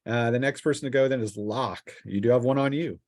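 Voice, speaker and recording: A man runs a tabletop role-playing game as game master. His voice is average in pitch and casual, moving between rules talk and narration. The sound is dry, with little room echo. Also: brisk, a little mellow.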